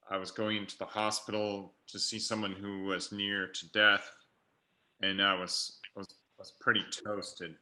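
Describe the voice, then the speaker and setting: Loud, bright-voiced man on a video call. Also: low-pitched. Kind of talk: reflective group conversation. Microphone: computer mic on a video call.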